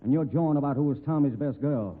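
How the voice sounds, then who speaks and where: Actor as plainspoken western sleuth, tinny old radio. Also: male voice, medium pitch, breathy edge, close to an everyday voice. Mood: reasoning, firm. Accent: American. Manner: folksy, deliberate reasoning with rustic grammar. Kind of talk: old-time radio drama acting. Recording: tinny, narrow-band old radio recording.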